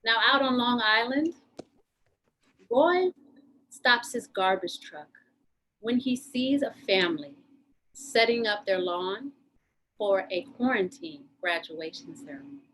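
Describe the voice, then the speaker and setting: Lady narrator reading a script, voice a bit on the high side. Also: expressive, slow pace, pauses often, a bit bright, slight background noise, rough recording.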